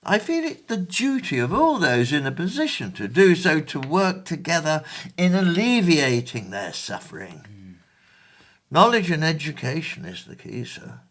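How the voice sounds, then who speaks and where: An actor playing a benevolent, earnest 1820s gentleman in a period audio play, with formal, courteous old-fashioned diction. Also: on the high side, big pitch swings, normal speed. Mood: earnest, sorrowful.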